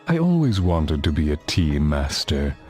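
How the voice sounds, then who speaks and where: An actor playing a genial, reflective older political founder in a video game cutscene, speaking in measured, formal storytelling. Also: male voice, very deep voice, very animated, a little mellow, full character voice. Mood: genial, musing. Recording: music under the voice.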